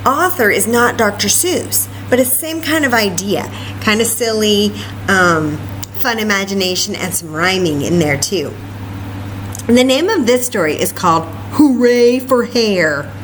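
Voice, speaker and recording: A woman leads story time for young children in a lively, swooping voice with an unhurried pace. She is chatty and encouraging, adding little asides as she goes. There is faint noise behind her. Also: medium pitch, low-quality recording. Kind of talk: sing-song story time for young children, singing, read-aloud and chatting.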